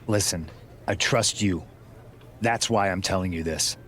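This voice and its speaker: A man's voice: deep voice, unhurried, fairly bright tone, slight background noise. A man voicing a calculating wrestler who leads a rebel faction, firm and persuasive in video game cutscene dialogue. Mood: confiding, persuasive.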